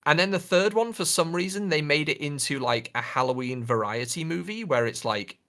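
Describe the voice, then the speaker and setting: A man co-hosting a podcast in casual chat, speaking in an informal, opinionated and animated way. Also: fairly high pitch, a little fast. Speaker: podcast co-host. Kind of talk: casual pop culture chat.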